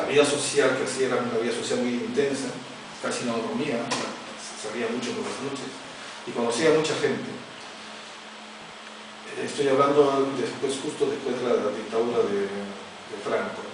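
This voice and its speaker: Halting middle-aged man, echoey distant hall. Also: medium pitch. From Peru, Amazon region. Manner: halting, many restarts and fillers, anecdotal. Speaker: author presenting his book. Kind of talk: informal talk at a book presentation. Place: large echoey arts center hall. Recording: echoey hall from far off with noise.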